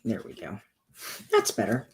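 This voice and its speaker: A woman with a rough, congested voice hosting a casual card-making tutorial, chatting her way through each step as she works. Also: unhurried.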